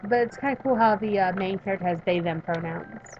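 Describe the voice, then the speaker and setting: A woman in her thirties reading a visual novel aloud and chatting about it, emphatic while reading and quiet when talking about herself. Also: medium pitch, fairly quick, muffled recording, slight background noise. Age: 30s. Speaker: let's play streamer reading a visual novel. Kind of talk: reading game text aloud and commenting.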